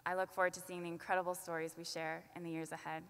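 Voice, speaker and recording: A woman's voice: lowish voice, little pitch movement, a bit bright, a little hiss or hum. Young woman giving a graduation speech. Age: 20s. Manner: earnest, measured delivery with light self-deprecating asides. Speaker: student commencement speaker. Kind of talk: prepared commencement speech. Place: large arena at a podium. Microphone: podium microphone. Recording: long arena reverb.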